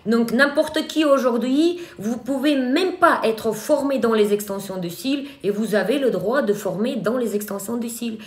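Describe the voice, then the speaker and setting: A woman with a Ukrainian accent and a fairly high voice teaches a live online workshop as an eyelash extension trainer. She speaks in a hesitant way, filling pauses with filler sounds and restarting her sentences. The voice rings in an echoey room.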